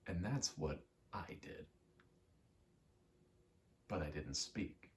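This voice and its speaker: A man's voice: deep voice, lively intonation, takes it slowly, gravelly, slight background noise. A young man giving a casual, meandering ASMR ramble while gaming, often interrupting himself in an understated way. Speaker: ASMR creator.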